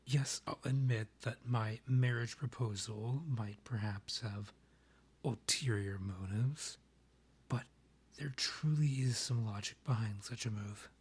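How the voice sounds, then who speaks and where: A man playing a courtly, lovestruck vampire king in a romantic ASMR roleplay, half-whispering in a breathy voice with formal, pleading phrasing. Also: medium pitch, slow, darkish tone. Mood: courtly, persuasive. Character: lovestruck male vampire king, courtly, yearning, tender suitor.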